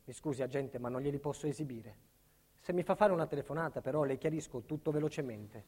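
A man's voice: mid-range voice, quick pace, a little mellow, slightly rough, lightly acted. A male actor playing a middle-aged family man under state protection, insistent and emphatic, in a dramatic stage monologue. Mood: subdued, guarded. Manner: emphatic, insistent, repeats himself.

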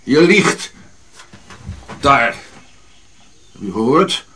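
An actor playing an irritable, haughty old African prince in a radio drama, grumbling, scolding and given to reminiscing. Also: male voice, medium pitch, very animated, very slow, sharp tone, slightly rough, full character voice. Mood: angry accusing.

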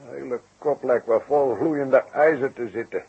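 A man playing an irritable circus performer in a Dutch radio drama, groggy and in pain, with a husky voice. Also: mid-range voice, moderate pace. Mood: groaning, irritable. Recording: dull, muffled 1960s radio recording.

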